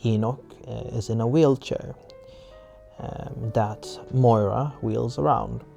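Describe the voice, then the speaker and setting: A man's voice: medium pitch, steady tone, takes it slowly, vocal fry, dark tone, faint music behind. A man working as game master, setting scenes off the cuff and searching for words as he goes. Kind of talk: improvised scene-setting for a tabletop roleplay audio drama.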